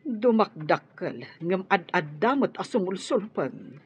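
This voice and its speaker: Worried wife, dull radio audio. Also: female voice, mid-range voice, sing-song, a little slow, slightly rough, exaggerated voice. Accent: Ilocano. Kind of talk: Filipino radio drama acting.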